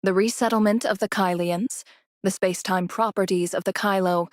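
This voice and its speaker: A woman acting as a poised mentor figure in an anime game. She has a mid-range voice in a dry studio recording. Her delivery is deliberate, instructive and sure of its authority. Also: medium pitch, steady tone, no room sound, lightly acted. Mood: assured.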